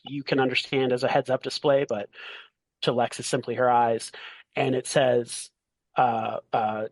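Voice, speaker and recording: A man acting as game master, narrating a tabletop game in a casual way with a halting, deliberate delivery. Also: medium pitch, no echo, low-quality recording.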